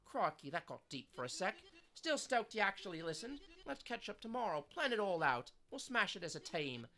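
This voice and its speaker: A woman's voice: mid-range voice, sing-song, normal speed, a bit bright. A woman voicing a cheeky, upbeat teen zombie with a slangy, easygoing way of talking. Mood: chatty.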